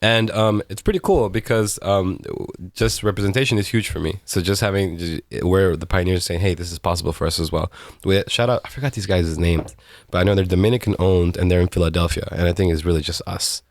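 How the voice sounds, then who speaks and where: A man's voice: deep voice, brisk, no echo. A man from the United States, a bar owner speaking as a guest in casual chat, talking with enthusiasm and pride.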